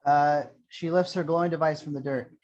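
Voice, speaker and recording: A man's voice: on the high side, steady tone, a little fast, darkish tone. A man reading screenplay action lines as scripted narration at an amateur table read. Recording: dull lo-fi sound.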